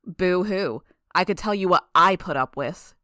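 A woman's voice: fairly low pitch, normal speed, no room sound, studio quality, lightly acted. A woman voicing a hostile, distrustful young woman in an audiobook, curt, combative and given to swearing. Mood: angry, scornful. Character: hostile, foul-mouthed young woman, aggressive and distrustful loner. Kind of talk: dramatised audiobook dialogue.

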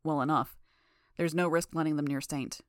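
A woman's voice: sits low, steady tone, normal speed, no echo, studio quality, natural voice. A woman doing a clipped, serious armored man. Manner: clipped, matter-of-fact.

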